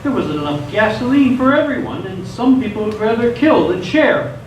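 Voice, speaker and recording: A man acting as a cocky teen boy livestreamer with a high, animated voice. He talks to his viewers in showy slang, all bravado over hurt, in a radio play recorded in a very echoey space. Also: moderate pace, highs cut off. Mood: narrating to viewers. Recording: echoey stage, distant mic, noisy.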